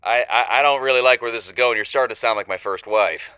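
Actor as prickly, stammering male caller to a radio show, very bright forceful tone, dry, defensive. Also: mid-range voice, fast, clear, resonant tone, no echo, lightly acted. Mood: affronted. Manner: indignant, stammering. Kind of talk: semi-improvised audio drama.

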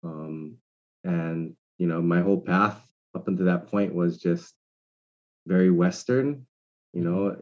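Deep, mellow man from Hawaii. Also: lo-fi sound. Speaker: guest entrepreneur. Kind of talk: casual conversation.

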